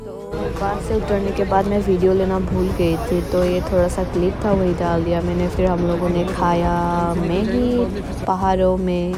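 A woman's voice: fairly low pitch, little pitch movement, moderate pace. Chatty young woman vlogging over music. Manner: chatty, thinking aloud. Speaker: travel vlogger. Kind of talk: casual travel vlog narration. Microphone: phone mic. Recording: loud background music.

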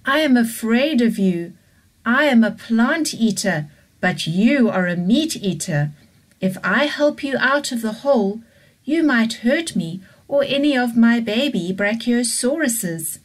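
A woman's voice: fairly high pitch, lively intonation, a little slow, pitched above the performer's own voice, low-quality recording. A woman voicing a friendly female brachiosaurus mother who is wary of a meat eater.

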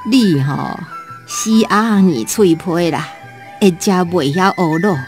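A woman's voice: lowish voice, slow, darkish tone, faint music behind. A woman playing a feisty elderly farm wife in a radio drama, nagging and earthy but fond, with a Taiwanese accent. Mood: teasing.

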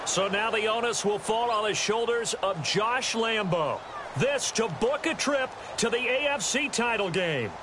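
A man's voice: high voice, animated, unhurried. A man playing an American TV football play-by-play announcer in a video game. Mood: excited. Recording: loud stadium music and noise behind.

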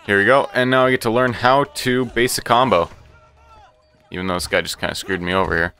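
A man's voice: fairly low pitch, fairly quick, pushed and bright, faint music behind. A man giving casual, flippant gameplay commentary, with playful little sound effects. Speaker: gaming video host.

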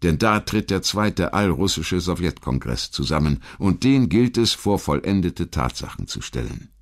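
A man reading scripted historical documentary narration in a measured, articulate storytelling manner. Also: low-pitched, sing-song.

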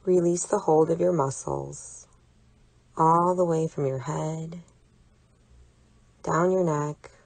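Female meditation guide, low register, gently instructive and deliberate, in a dry, echo-free recording. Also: steady tone, vocal fry. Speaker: psychologist leading a relaxation exercise. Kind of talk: guided imagery meditation narration.

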